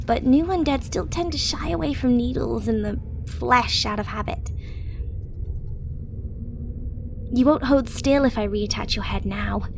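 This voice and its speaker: Woman playing a high-voiced, chatty, pragmatic necromancer. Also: darkish tone, a little hiss or hum. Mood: focused, casual. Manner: casual, matter-of-fact, chatty while working. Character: pragmatic, chatty female necromancer, former tailor, matter-of-fact. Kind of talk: scripted audio roleplay addressed to the listener.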